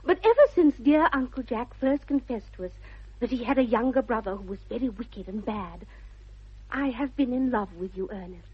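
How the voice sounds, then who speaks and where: A dull vintage radio recording of a young Victorian lady with a British accent. Her voice is very high and a little husky. She speaks precisely and with great earnestness, dreamy and headstrong, in the manner of a 1960s comedy of manners. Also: normal speed. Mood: dreamily romantic. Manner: precise, earnest, affected delivery.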